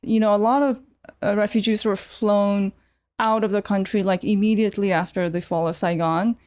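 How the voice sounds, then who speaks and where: A woman's voice: mid-range voice, steady tone, moderate pace, darkish tone. A woman with a Californian accent talking casually as an interview guest, explaining things in an informal, tentative way. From United States (California). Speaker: podcast guest, artist. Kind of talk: casual conversational interview answers.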